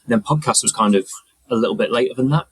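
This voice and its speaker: A man's voice: mid-range voice, fast, breathy edge, dry recording. A man explaining things casually as a guest in a conversation. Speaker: podcast guest, audio mixer.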